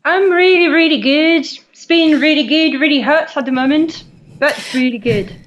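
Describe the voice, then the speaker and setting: A woman from France with a French accent, a guest telling her story in halting English full of fillers and self-corrections. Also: high voice, a bit bright, lo-fi sound. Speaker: guest, dive instructor. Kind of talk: interview storytelling.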